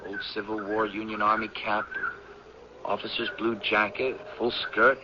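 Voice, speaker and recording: A man's voice: lowish voice, flat delivery, a little slow, close to an everyday voice. Polite young professor, played by a man, muffled recording. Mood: curious, polite. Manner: hesitant, courteous stammering. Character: polite, curious young male college professor. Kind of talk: radio drama acting. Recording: muffled, noisy old radio broadcast.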